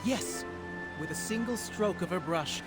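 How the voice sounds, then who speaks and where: High-pitched, theatrical male storyteller. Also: a little slow, over loud music. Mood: grand, dramatic. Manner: dramatic, formal, declaiming. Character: grandiose male storyteller of ancient legends, easily flustered. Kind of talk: theatrical storytelling in a video game.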